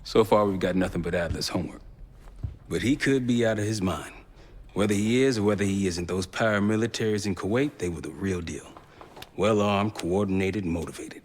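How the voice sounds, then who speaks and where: An actor playing a steady, pragmatic intelligence operative in a video game, an American man talking frankly and colloquially. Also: sits low, brisk. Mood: measured, serious. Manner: laid-back, frank, colloquial. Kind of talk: video game dialogue acting. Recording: long reverberant room echo.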